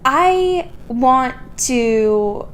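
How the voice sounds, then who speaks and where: A woman's voice: sits high, animated, extremely slow, a little hiss or hum. A young adult woman co-hosting a podcast in a casual, comedic chat, informal and self-mocking, swearing freely. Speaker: podcast co-host.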